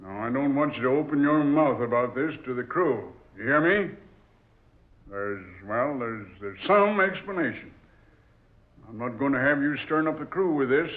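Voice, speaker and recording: A stern sea captain, played by a man barks orders in a gruff, commanding way. His voice sits at a middling pitch. It comes through a thin, tinny old radio recording. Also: animated, moderate pace, natural voice. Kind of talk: 1940s radio acting.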